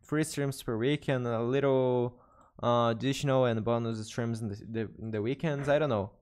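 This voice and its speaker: A young Brazilian man with a Portuguese accent chats with his stream in English, pitched at an ordinary middle height for a man. He hesitates, repeats words and restarts phrases as he reads chat. He talks close to a desk mic in his bedroom setup. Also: steady tone, normal speed, darkish tone, smooth. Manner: hesitant, self-correcting non-native English. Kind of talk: casual live-stream chat with viewers. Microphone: studio mic on desk with headphones.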